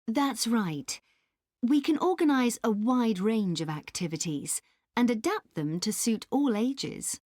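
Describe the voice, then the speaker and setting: A woman playing a helpful country park staff member in a scripted dialogue, explaining things deliberately. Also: fairly high pitch, expressive, a little mellow, no echo, studio quality. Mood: helpful, informative. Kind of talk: scripted listening-test dialogue.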